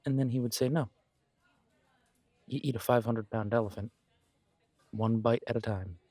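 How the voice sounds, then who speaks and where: A man's voice: medium pitch, steady tone, warm tone, no room sound, natural voice. A man playing a supportive, self-deprecating best friend in a conversational roleplay audio, talking in a reassuring, reflective way. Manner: reassuring, chatty, reflective pauses. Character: warm, encouraging male best friend, self-deprecating, gently earnest.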